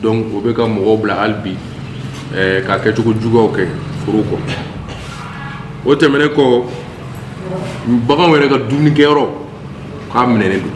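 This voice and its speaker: A man's voice: on the low side, fairly even intonation, measured pace, pauses often. A man with a Malian accent giving a formal report to a meeting, itemizing point by point and mixing in French words. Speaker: town hall secretary general presenting workshop findings. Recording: echoey room with faint background noise.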